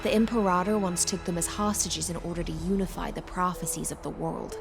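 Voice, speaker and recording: An actress playing a composed, proud knight commander in a game, speaking in a formal, measured, courtly way. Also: female voice, lowish voice, steady tone, gravelly, music in the background. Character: composed proud female knight commander, loyal imperial servant. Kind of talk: scripted game dialogue.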